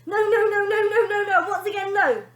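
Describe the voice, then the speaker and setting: A woman's voice: very high voice, fairly even intonation, normal speed, roomy sound, pitched above the performer's own voice. A woman voicing a bossy, indignant young girl from a storybook, snappy, suspicious and sneering. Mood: indignant panic.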